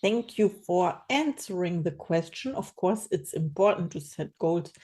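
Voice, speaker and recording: A woman's voice: on the low side, animated, a little slow, warm tone, slightly rough. A woman hosting a podcast interview, asking questions and praising her guest effusively. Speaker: podcast host.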